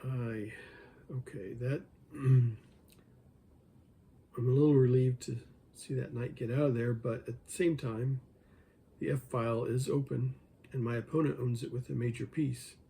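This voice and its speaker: A man who is losing his voice, hoarse, thinking aloud over an online chess game and joking with himself as he plays. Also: mid-range voice, steady tone, a little slow, long pauses, dark tone. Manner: mutters his thoughts, talks to himself, jokes self-deprecatingly. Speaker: chess video creator.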